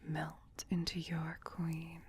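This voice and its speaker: A woman voicing a doting, possessive vampire queen in a soothing, breathy whisper for an ASMR roleplay. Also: deep voice, completely flat delivery, darkish tone, slight background noise. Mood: tender, coaxing. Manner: soothing, slow, affectionate pet-name coaxing.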